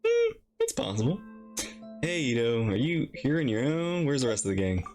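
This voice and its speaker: A man reacts to a video game as the host. His delivery is excitable and full of exclamations, with pitch jumping around freely. Faint music plays underneath. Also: normal speed. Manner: excitable, exclamatory, repeats phrases.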